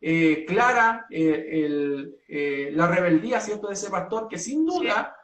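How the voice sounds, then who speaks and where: A man from Chile, a pastor, setting out his church's principles in an earnest, measured way with many hesitations. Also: high voice, lively intonation, fairly bright tone, roomy sound, recorded from a distance. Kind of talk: doctrinal statement in a two-way talk.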